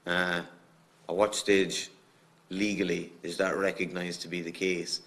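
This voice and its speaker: Committee member, very deep voice, rambling questions. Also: male voice, level tone, a little slow, fairly bright tone. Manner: rambling, full of fillers like "I mean". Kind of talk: parliamentary questioning.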